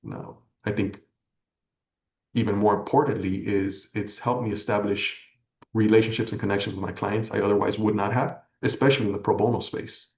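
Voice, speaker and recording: A man from California whose first language is Spanish, giving earnest, measured, reflective answers as an interview guest. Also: on the low side, fairly even intonation, gravelly. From California, United States. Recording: echoey room, slightly dull audio.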